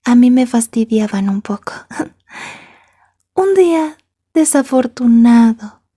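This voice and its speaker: A woman playing a possessive, jealous yandere schoolgirl in an ASMR roleplay, soft and breathy, taunting and slipping into whispers. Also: fairly high pitch, lively intonation, slow, exaggerated voice. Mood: jealous, menacing.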